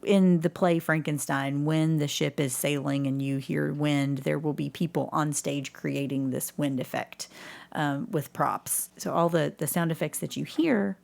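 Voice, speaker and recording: A woman's voice: deep voice, fairly even intonation, a little slow, creaky, a little mellow. A woman speaking as an enthusiastic guest in a casual interview about her own work. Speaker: podcast guest, community theatre actor and director.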